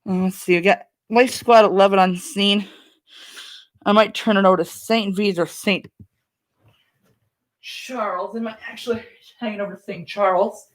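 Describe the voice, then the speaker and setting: A woman's voice: mid-range voice, fairly even intonation, normal speed, long pauses. A woman hosting a live stream with casual commentary, speaking in a polite, apologetic way.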